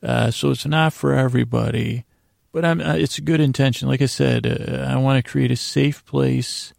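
A man hosting a bedtime podcast with a creaky, gravelly voice, rambling and halting through digressive monologue that often trails off. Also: mid-range voice, fairly even intonation, darkish tone, dry recording, clean studio sound. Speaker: podcast host. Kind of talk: rambling bedtime monologue to lull listeners to sleep.

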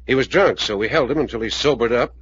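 An actor playing a steady, blunt investigator in 1940s radio drama, laconic and plain-spoken, with an American accent. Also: male voice, medium pitch, quick pace, breathy edge. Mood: cool, probing. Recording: dull old radio recording.

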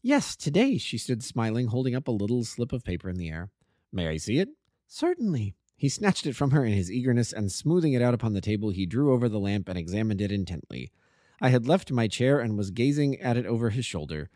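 A man reading a classic detective story aloud as an amateur narrator, expressive and lively. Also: lowish voice, quick pace, dry recording, studio quality.

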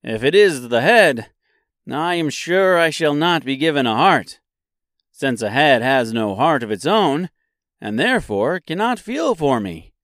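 A man's voice: on the high side, sing-song, measured pace, fairly bright tone, dry recording, studio quality, pitched above the performer's own voice, heavily acted. A man voicing a polite tin woodman in a storybook, earnest, hopeful and pleading.

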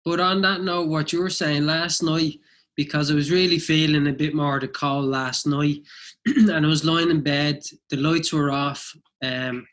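Young co-host with a slight cold, thoughtful and a touch higher-pitched. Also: male voice, a bit bright. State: has a mild cold. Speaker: podcast co-host. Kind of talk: casual chat.

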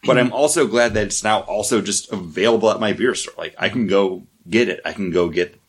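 Low-voiced man recalling a story. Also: brisk, slightly rough. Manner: chatty, relaxed recollection. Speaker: podcast guest. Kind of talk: casual storytelling.

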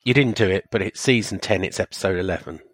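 Croaky man with a cold. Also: low-pitched, fast, dry recording. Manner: picky, dry complaints. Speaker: podcast co-host.

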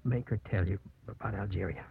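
A man's voice: fairly low pitch, fairly quick, vocal fry, husky, exaggerated voice. An actor playing an earnest, weakened male lawyer speaking to a woman he cares for in a vintage radio drama. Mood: weak, urgent. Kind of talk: 1940s-50s radio drama acting. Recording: tinny, slightly noisy old radio broadcast.

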